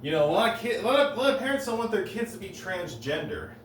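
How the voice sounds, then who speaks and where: A man doing deadpan one-liner stand-up comedy on a stage, halting and restarting between jokes. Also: high voice, a little hiss or hum. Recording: roomy live room, heard from a distance.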